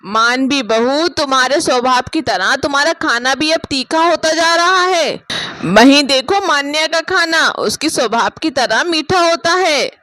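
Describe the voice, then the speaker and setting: A woman's voice: high-pitched, lively intonation, unhurried, dry recording, exaggerated voice. Woman acting a plaintive older mother-in-law, dry sound. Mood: admonishing. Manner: plaintive, gently admonishing. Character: kindly, long-suffering older mother-in-law. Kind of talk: cartoon moral story acting.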